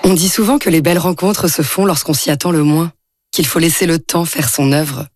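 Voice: medium pitch, steady tone, fairly quick, slight background noise. A voice-over artist reading scripted narration for a radio commercial.

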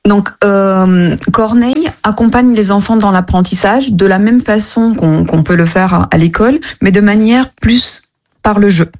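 A woman's voice: medium pitch, normal speed, darkish tone. A woman whose first language is not French, answering questions as a radio guest, earnest and hesitant with frequent restarts. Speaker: app co-founder, radio guest.

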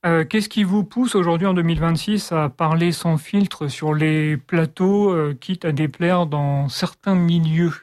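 A woman speaks as an interview host in a mid-pitched voice. She works through prepared questions haltingly, often hesitating between phrases. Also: darkish tone.

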